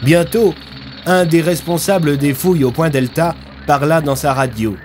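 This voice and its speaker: A man's voice: on the high side, normal speed, heavy background noise. A young man telling a scripted mystery story as the dramatic narrator of an audio fiction series. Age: young adult.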